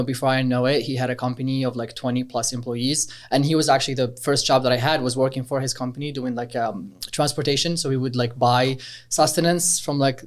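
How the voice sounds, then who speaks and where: A man in his twenties, originally from Algeria, talking casually as a startup co-founder in an interview, relaxed and rambling with many fillers. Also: mid-range voice. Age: 20s.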